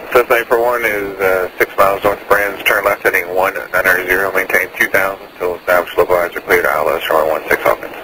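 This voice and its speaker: A male air traffic controller with a low voice gives brisk procedural instructions. He speaks quickly over aviation radio, with clear static and noise around the words. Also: steady tone.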